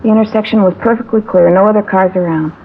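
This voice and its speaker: An actress playing a talkative, shaken theater cashier who witnessed an accident, in 1950s radio drama style. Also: female voice, medium pitch, fast, natural voice. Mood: distressed, indignant. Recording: tinny, hissy vintage radio recording.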